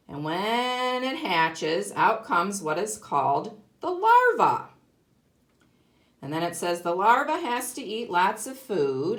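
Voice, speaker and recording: A woman teaching young children in a read-aloud lesson, explaining simply and deliberately, with emphasis and questions to the listener. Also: medium pitch. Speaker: kindergarten teacher.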